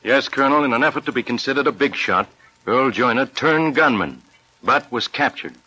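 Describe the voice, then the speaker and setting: Forceful police superintendent, old radio. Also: male voice, mid-range voice, lively intonation, normal speed, low-quality recording. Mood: formal, emphatic. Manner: formal narrating delivery. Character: male police superintendent formally recounting cases. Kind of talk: 1940s radio acting. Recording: vintage 1940s radio recording.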